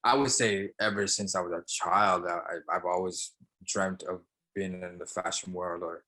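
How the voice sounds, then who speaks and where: A man's voice: low voice, fairly even intonation, unhurried, bright, forceful tone, low-quality recording. A young man from Sri Lanka, a barber and entrepreneur, answering interview questions casually with many fillers and restarts.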